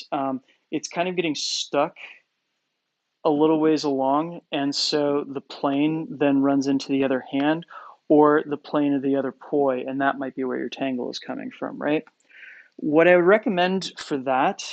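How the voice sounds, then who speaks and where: A man's voice: on the high side, no room sound. Chatty Coloradan man, slightly dull stream audio. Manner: hesitant, many fillers and restarts. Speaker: poi spinning instructor. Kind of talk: live-stream Q&A tutorial. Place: small indoor space.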